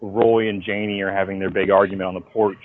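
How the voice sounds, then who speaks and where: A man being interviewed by phone as a writer, talking in an informal, halting but emphatic storytelling way. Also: low-pitched, flat delivery, a little hiss or hum. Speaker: interviewee, comic book writer. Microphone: phone call. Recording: dull lo-fi phone call.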